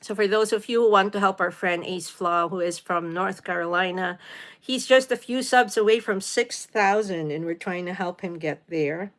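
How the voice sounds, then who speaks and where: A woman from the Philippines in her forties hosts a relaxed live stream from home. She chats easily with viewers and greets them by name. Her talk is loose and unscripted, full of small fillers. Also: mid-range voice, moderate pace. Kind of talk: casual live stream chat reading viewer comments. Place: home in New York City.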